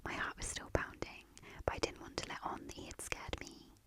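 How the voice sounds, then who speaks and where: A woman reading a storybook aloud in a soft, hushed storytelling manner. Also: a little fast, in a whisper. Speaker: narrator.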